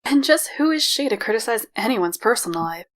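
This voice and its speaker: A woman's voice: a little high, lively intonation, a little fast, close to an everyday voice. A woman playing a haughty, aggrieved wife in a comic dub, full of indignation. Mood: indignant.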